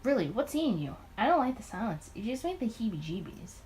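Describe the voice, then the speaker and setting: A woman's voice: a little high, very animated, fast, a little mellow, slight background noise. A woman voicing a playful, chatty male boss in a visual novel, teasing as he talks. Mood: teasing, nosy.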